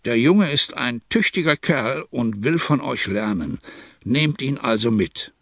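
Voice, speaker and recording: A man's voice: medium pitch, lively intonation, a little slow, a bit bright, no echo. A man voicing an eccentric English lord in a dramatized reading, speaking in terse, clipped bits.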